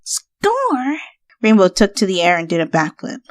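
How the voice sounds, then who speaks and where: A woman's voice: lowish voice, fast, dry recording. A woman narrating fan fiction as a dramatic story reading.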